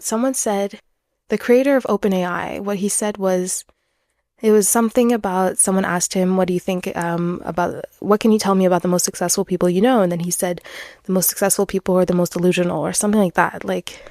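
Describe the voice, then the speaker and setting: A woman's voice: mid-range voice, darkish tone, dry recording. A woman in her twenties, a native French speaker, hosting a casual, candid solo podcast in a chatty, earnest, self-deprecating way into a close microphone. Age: 20s. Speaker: podcast host.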